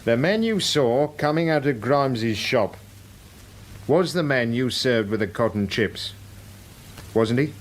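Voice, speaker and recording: An actor playing a bullying police inspector in a radio drama, clipped, accusatory and coldly insistent. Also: male voice, mid-range voice, lively intonation, a little slow, long pauses, slight background noise, lightly acted. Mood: menacing, insistent. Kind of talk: British radio drama acting.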